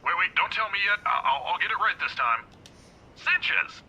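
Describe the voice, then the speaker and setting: A jovial old security guard. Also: male voice, sits high, very animated, moderate pace, pushed and bright, slightly rough, lo-fi sound, full character voice. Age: older. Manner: chatty, jovial, fumbles names. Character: friendly forgetful older male security guard. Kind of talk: voiced visual novel acting.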